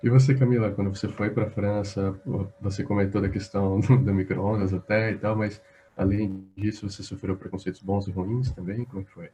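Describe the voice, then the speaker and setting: Brazilian man host, teasing and jokey, low mellow voice. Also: fairly even intonation, a little hiss or hum, rough recording. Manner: jokey, teasing, quick to laugh. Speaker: interview host. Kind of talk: casual interview chat.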